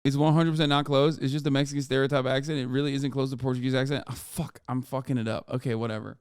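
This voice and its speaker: A man's voice: medium pitch, level tone, fast, darkish tone, clear, resonant tone, no room sound, studio quality. A man streaming live, reacting to clips and articles in a blunt, profane, self-mocking way.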